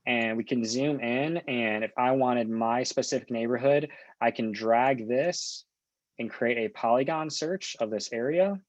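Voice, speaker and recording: A man working as a software trainer, talking through an on-screen walkthrough in an informal, explanatory and reassuring way. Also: mid-range voice, unhurried, no room sound, muffled recording.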